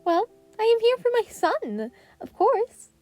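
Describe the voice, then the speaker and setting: A woman acts out a small, jovial rat who runs a school in an anime fan story. The voice is lifted high and loud, with polite, exclamatory delivery and mischievous fatherly pride. Soft music plays behind it. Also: very animated, unhurried, heavily acted. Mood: proud.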